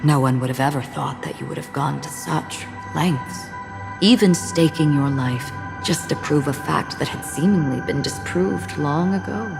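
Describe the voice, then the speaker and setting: A woman voicing a calm, enigmatic, solemn wanderer in a video game cutscene, speaking in a measured, stoic way. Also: low voice, gravelly. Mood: calm, probing. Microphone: close studio voice recording. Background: game music.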